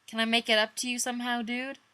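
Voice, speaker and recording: A woman's voice: a little high, level tone, fast, pushed and bright, smooth, voice pushed up. A woman voicing a hurt, dim but devoted young man in a dramatic fanfiction reading, with an overwrought, pleading delivery. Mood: apologetic, coaxing.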